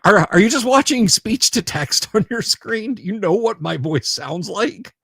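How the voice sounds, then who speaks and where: A man's voice: sits high, expressive, normal speed, gravelly, fairly bright tone, husky, no echo, studio quality. A man hosting a casual livestream, rambling, repeating his words and making sarcastic asides while reading viewer comments. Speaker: livestream host.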